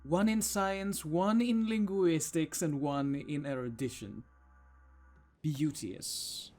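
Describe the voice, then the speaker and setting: A man's voice: a little high, takes it slowly, warm tone, faint music behind. A man giving casual, theatrical and exclamatory commentary while playing a video game.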